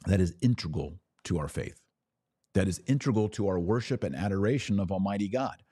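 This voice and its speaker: Deep-voiced man, emphatic religious podcast host. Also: lively intonation, brisk, mellow, slightly rough, no room sound. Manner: emphatic, conversational, acts out imagined dialogue. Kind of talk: informal religious commentary, addressing listeners.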